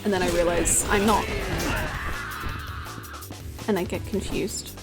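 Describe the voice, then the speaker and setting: A woman in her twenties chatting casually as she livestreams a video game, with an expressive, giggly, exclamatory way of talking. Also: fairly low pitch, takes it slowly. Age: 20s. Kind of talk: casual livestream chat over gameplay, reading out comments. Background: video game music.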